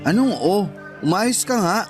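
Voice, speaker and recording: A wounded young Filipino bagger in a radio drama. His voice is notably high for a man, and he presses his friend with insistent, accusing questions. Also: animated, measured pace, music in the background. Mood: suspicious, confrontational. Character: hurt, confrontational young male supermarket bagger, close friend.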